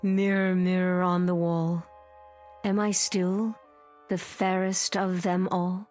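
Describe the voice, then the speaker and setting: Proud, theatrical aging film star, music behind. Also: female voice, lowish voice, level tone, takes it slowly, a little mellow. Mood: dreamy, nostalgic. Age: middle-aged. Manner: theatrical, haughty, precise. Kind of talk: mid-century television drama acting. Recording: vintage TV soundtrack with music.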